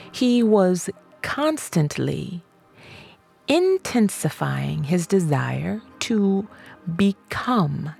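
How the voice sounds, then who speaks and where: A woman reading an audiobook as scripted narration, in a measured, deliberate way with emphatic phrasing. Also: sits low, gravelly, darkish tone. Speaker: audiobook reader.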